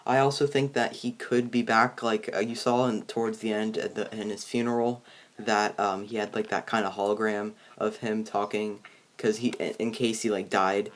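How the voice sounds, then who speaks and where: A man with a fairly low voice hosts a casual movie review conversation. He speaks in a halting way, breaking off mid-sentence and starting again as he works out his opinions. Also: flat delivery. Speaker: host of a movie review show. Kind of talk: casual chat, film review.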